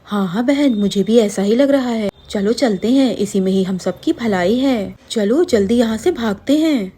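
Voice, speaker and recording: A woman voicing chatty, gossiping village women with an excitable, shrill manner in a cartoon story. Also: moderate pace, slightly rough. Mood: fearful. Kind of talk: cartoon story character voices.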